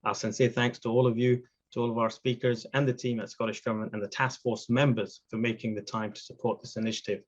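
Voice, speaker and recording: A man hosting a launch event, reading measured prepared remarks and introducing the speakers. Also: mid-range voice, little pitch movement, husky, muffled recording. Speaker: event host. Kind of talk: formal prepared speech.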